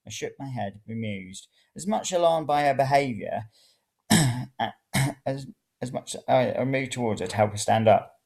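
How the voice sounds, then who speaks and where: A narrator reading first-person serial fiction in an expressive, dramatic way. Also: mid-range voice, normal speed. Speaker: story reader.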